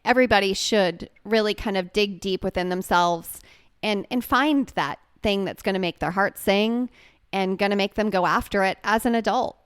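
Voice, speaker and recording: A woman's voice: mid-range voice, moderate pace, clear, resonant tone, no echo, studio quality. A woman who coaches triathlon, speaking earnestly and encouragingly in a casual podcast chat.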